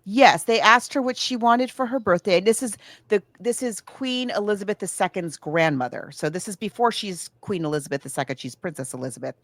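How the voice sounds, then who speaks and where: A woman's voice: mid-range voice, brisk, few pauses, a bit bright, no room sound. A woman co-hosting a podcast, chatting casually about books and films in a digressive, thinking-aloud way. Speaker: podcast co-host. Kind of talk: casual book and film discussion.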